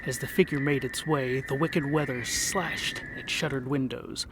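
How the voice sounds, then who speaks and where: A man's voice: mid-range voice, fairly even intonation, unhurried, very noisy. A man narrating a scripted comic fantasy tale in a theatrical, mock-epic manner with wry asides. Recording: voice over music. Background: music.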